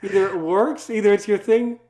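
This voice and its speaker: A man with a fairly high voice hosts a casual interview podcast. He is chatty and teasing, and he likes to repeat a phrase as he plays with it. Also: fairly even intonation, fairly quick, mellow. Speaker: podcast host.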